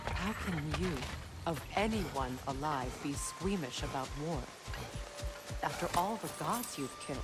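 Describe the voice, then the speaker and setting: A woman's voice: sits low, lively intonation, unhurried. An actress playing a proud, grieving mother goddess in a video game, with a cutting, imperious, theatrical way of speaking. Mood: bitter, reproachful. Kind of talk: dramatic video game acting. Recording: loud music under the voice.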